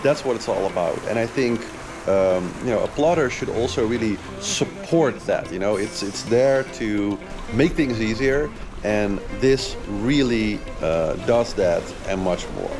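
A man's voice: lowish voice, measured pace. A man in his thirties, a sailing vlogger and charter captain, giving an enthusiastic, casual product review from a sailboat, with frequent fillers. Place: on a sailboat, outdoors. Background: music.

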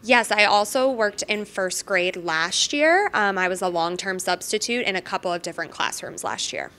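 Bright-voiced young American woman. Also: medium pitch, moderate pace. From Pennsylvania, United States. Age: 20s. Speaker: elementary school teacher. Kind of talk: informal interview answers. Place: classroom, standing. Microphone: handheld microphone.

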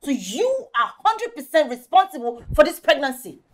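Fiery scolding best friend, swooping pitch. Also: female voice, sing-song, brisk, slightly rough, distant mic. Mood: outraged. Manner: combative, scolding. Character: fiery, confrontational female best friend, protective and scornful. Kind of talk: film drama acting.

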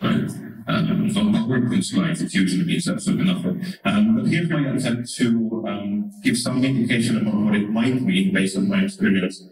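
A man's voice: fairly low pitch, moderate pace, darkish tone, a little hiss or hum. A man in his thirties with an Estonian accent, giving a conference talk into a handheld mic with wry asides and frequent "right?" checks. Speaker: conference speaker. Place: large conference hall, indoors. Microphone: handheld microphone through hall speakers. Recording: echoey hall, from far off.

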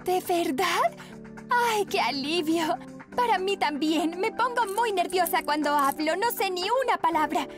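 A woman voicing a sweet, naive teenage aspiring model in a cartoon dub, earnest and expressive. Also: extremely high pitch, a little slow, over music. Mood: surprised, relieved. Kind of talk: animated series dub in Spanish.

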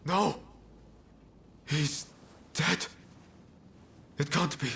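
A man's voice: a little high, fairly even intonation, very slow, gravelly, bright, forceful tone, slight background noise, exaggerated voice. A man acting a coaxing, earnest adult samurai comrade in a video game cutscene. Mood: troubled, earnest.

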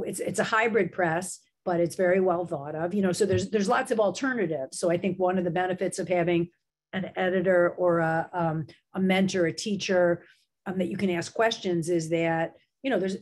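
A middle-aged woman speaks in a fairly low voice, her throat slightly sore. She chats eagerly and loosely, full of fillers and restarts, the way a professor sounds on a video call. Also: steady tone, normal speed. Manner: chatty, enthusiastic, lots of fillers and restarts. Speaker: guest author and writing professor. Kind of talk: casual video-call interview.

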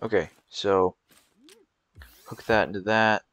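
A man's voice: lowish voice, fairly even intonation, slow, a bit bright. A young man giving rambling, casual commentary over gameplay, with frequent fillers and restarts. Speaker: gameplay video creator.

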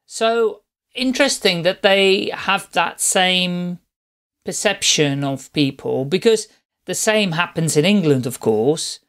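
A man's voice: high-pitched, animated, slow pace, a bit bright, clear, resonant tone. Male podcast host. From Netherlands. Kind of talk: conversational interview.

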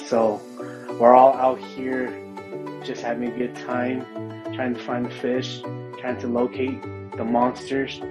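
A relaxed man talking through his outing as he fishes, unhurried and full of little "you know"s. His voice sits at an ordinary middle pitch. It has the open sound of a riverbank, caught by a camera worn on his body, with music playing underneath. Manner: relaxed, explanatory, with fillers. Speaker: fishing vlogger. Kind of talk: casual outdoor narration. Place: snowy riverbank outdoors.

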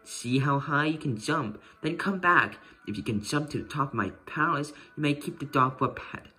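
A man's voice: fairly high pitch, moderate pace, pushed and bright, slightly rough. A man voicing a grand, amused, fair-minded king in a children's storybook. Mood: kindly, authoritative.

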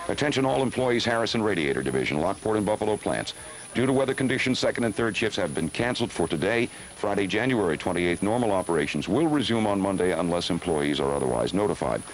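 Low-pitched American male radio host. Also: slight background noise, low-quality recording. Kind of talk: live storm announcements on 1970s radio. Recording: 1970s radio aircheck on cassette.

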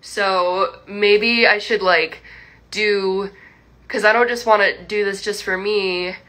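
A woman's voice: medium pitch, fairly even intonation, slow pace, pushed and bright, poor audio. A young woman livestreaming from home, chatting to fans in a rambling, laughing way full of likes and restarts. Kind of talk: casual chat with viewers. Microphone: phone livestream.

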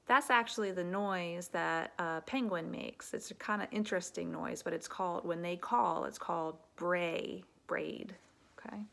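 A woman, around her thirties, reading a children's picture book aloud like an elementary teacher, with expressive, deliberate delivery and explanatory asides. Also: fairly low pitch, gravelly. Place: living room at home.

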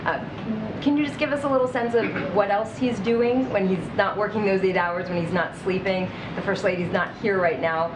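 A woman's voice: mid-range voice, normal speed, hardly any pauses. A woman reporter asking probing questions from the seats of a briefing room. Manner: probing, persistent. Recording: roomy, noisy, off-mic.

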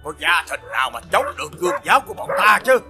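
Brutal cartoon enemy general, high voice, bossy and blustery, swoops all over. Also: male voice, very animated, fast talker, vocal fry, music in the background, heavily acted. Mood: scornful, boastful. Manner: blustering, commanding. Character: brutal, boastful enemy general, adult man, easily enraged. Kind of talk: animated fairy-tale voice acting.

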